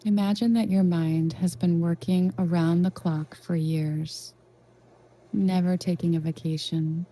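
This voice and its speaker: A woman leading a guided meditation in a gentle, reassuring, unhurried way with long pauses. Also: lowish voice, poor audio. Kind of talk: soothing guided meditation narration.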